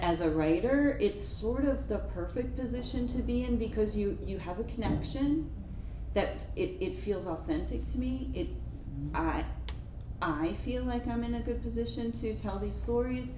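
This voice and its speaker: A woman author speaking at a live book panel in a thoughtful, measured way. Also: mid-range voice. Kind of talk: conversational panel talk. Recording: echoey room with background noise.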